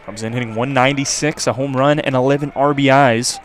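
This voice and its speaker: A man's voice: mid-range voice, steady tone, a little fast. A man doing live radio play-by-play commentary of a baseball game from an outdoor ballpark. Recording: outdoor crowd and background noise. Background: ballpark crowd and ambient noise.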